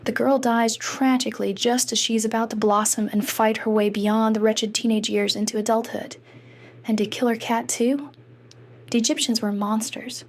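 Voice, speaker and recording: A woman's voice: a little high, steady tone, moderate pace, close to an everyday voice. A woman voicing a self-conscious, bookish fifteen-year-old girl, narrating in a wry, introspective way for a comic dub. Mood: wry, morbid. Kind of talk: scripted first-person narration for a comic dub.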